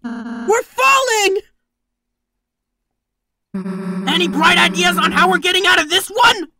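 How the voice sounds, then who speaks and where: A woman's voice: very high-pitched, very animated, normal speed, sharp tone, full character voice. A woman voicing a brash, defiant teenage monster tough girl in a video game fan dub, blunt and cocky. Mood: alarmed. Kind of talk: fan-dubbed video game character acting. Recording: music clearly behind the voice.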